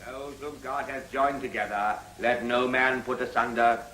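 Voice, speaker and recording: A man's voice: medium pitch, steady tone, moderate pace, lightly acted. An actor playing a solemn clergyman in a radio drama, intoning ceremonially. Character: solemn English clergyman conducting a wedding. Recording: echoey, noisy, distant church-like sound.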